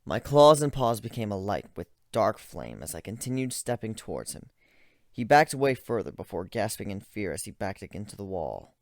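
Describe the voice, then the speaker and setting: A man narrating a dramatic story as an amateur reader, in a brooding, deliberate, theatrical way. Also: lowish voice, very animated, creaky, darkish tone, no echo, clean studio sound.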